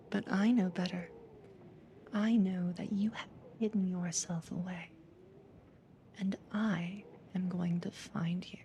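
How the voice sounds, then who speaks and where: A woman playing a calm, quietly controlling therapist in a slow, breathy, whispered ASMR hypnosis roleplay, soothing yet ominous. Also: on the low side, a little hiss or hum. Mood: quietly menacing.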